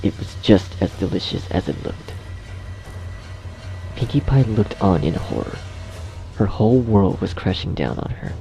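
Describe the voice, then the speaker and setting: A man narrates a horror story from a script. His voice is low and dark, with a gravelly fry in it. Quiet music plays behind him. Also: expressive, measured pace, long pauses, low-quality recording.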